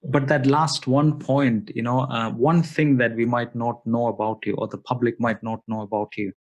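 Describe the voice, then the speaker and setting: A man's voice: medium pitch, fairly even intonation, moderate pace. Man, interviewer, polite, repeats thanks, everyday voice. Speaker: interviewer, host. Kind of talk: casual interview.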